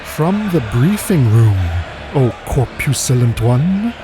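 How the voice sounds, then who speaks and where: A man's voice: medium pitch, sing-song, slow. A man playing a droll, prim servant in an audio drama, with an arch, languid, mocking manner. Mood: dry sarcasm. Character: droll, prim major-domo servant, archly sarcastic and unflappable.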